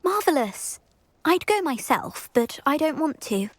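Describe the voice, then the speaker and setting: A woman's voice: high voice, big pitch swings, unhurried, no echo. An actress voicing a vain, breezily bossy schoolgirl in a video game, smug and airy. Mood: breezy, bossy. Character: vain, self-assured schoolgirl potion maker, breezily bossy. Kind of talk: video game character dialogue.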